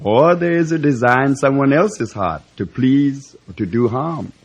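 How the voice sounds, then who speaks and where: A man's voice: medium pitch, animated, measured pace, creaky, lo-fi sound. An actor playing a loyal, philosophical island man with a lilting Caribbean accent in an old radio drama. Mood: gently worried. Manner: lilting, non-standard grammar. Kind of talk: old-time radio drama acting with rhymed sung narration. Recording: vintage radio recording with guitar music.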